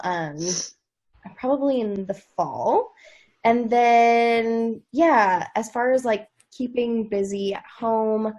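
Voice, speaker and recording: A woman, an actress, chatting casually and brightly about her work on a remote video call. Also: medium pitch. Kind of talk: casual chat.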